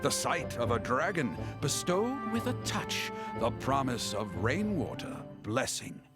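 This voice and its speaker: An actor playing a storyteller who recounts legends in a theatrical, sweeping manner. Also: male voice, medium pitch, big pitch swings, a little slow. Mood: dramatic. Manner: theatrical, rising and falling storytelling. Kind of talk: game voice acting. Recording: game audio over loud music.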